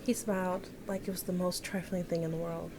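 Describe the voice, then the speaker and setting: A woman's voice: on the low side, level tone, a little fast. Woman reading aloud over noticeable noise. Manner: stumbles over words and corrects herself. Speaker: game streamer reading a visual novel aloud. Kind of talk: expressive read-aloud with casual asides. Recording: noticeable background noise, game ambience behind.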